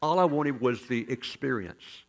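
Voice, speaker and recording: A man pastor preaching a sermon as folksy, joking storytelling, with sudden loud rises in his delivery. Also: medium pitch, lively intonation, unhurried.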